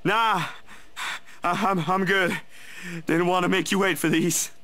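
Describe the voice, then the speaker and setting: A man's voice: sits high, steady tone, measured pace, sharp tone, full character voice. A man playing an easygoing, caring young man in a visual novel game. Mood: apologetic, upbeat.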